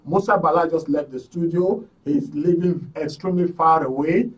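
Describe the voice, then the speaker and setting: A man with a Nigerian accent hosting a live radio talk show, speaking effusively in a halting, repetitive way. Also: fairly high pitch, distant mic, dull audio. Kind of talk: live broadcast sign-off, thanking guests.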